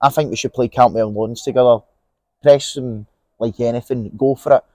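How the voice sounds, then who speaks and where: Young Scottish man, podcast co-host, mid pitch, casual football chat, lapel mic, dry. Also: brisk, no echo. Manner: relaxed, opinionated, many fillers and restarts. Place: outdoors in an empty stadium stand.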